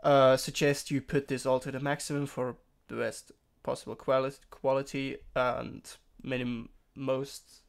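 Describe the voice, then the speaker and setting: A young man who is a bit sick, walking through a software tutorial in a rambling, casual way with many fillers and restarts. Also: mid-range voice, flat delivery, unhurried, creaky, clear, resonant tone. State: sick, throat-clearing voice. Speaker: tutorial streamer. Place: home room.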